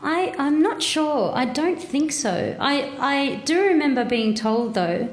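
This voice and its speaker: A woman's voice: high voice, animated, slow pace, echoey room. A woman from Fiji, a writer on a panel, telling her story candidly with light hesitations. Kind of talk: casual panel talk.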